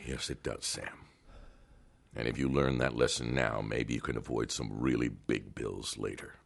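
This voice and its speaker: An actor playing a cold, controlling older man in an audio drama, threatening and swinging from hushed to loud. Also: fairly even intonation, measured pace, full character voice. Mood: weary. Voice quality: gravelly, very deep. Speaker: Doctor Barrows, wealthy older power broker.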